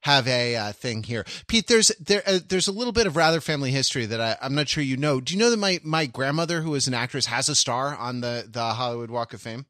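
A man's voice: medium pitch, lively intonation, fast talker, hardly any pauses, a bit bright, no echo, studio quality. A man from Los Angeles co-hosting a podcast in casual chat, laughing often and slipping into mock-grand speeches. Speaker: podcast co-host.

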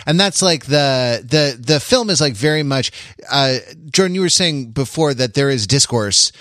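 Halting man discussing film, very dry sound. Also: mid-range voice, lively intonation, a bit bright, dry recording. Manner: halting, repeats words and rephrases, checks with "right?". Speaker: podcast panelist discussing a film. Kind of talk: casual discussion.

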